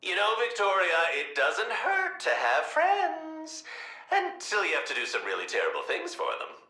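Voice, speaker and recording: A flamboyant clown villain from a video game, talkative and full of wisecracks. The voice is high for a man and extremely animated, leaping around theatrically with a very sharp, forceful edge. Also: normal speed, roomy sound, exaggerated voice. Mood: wry.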